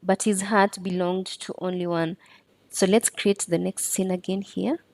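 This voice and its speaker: Mellow-voiced woman giving a tutorial. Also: fairly low pitch, flat delivery, measured pace, no room sound. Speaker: tutorial presenter. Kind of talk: step-by-step screen tutorial narration.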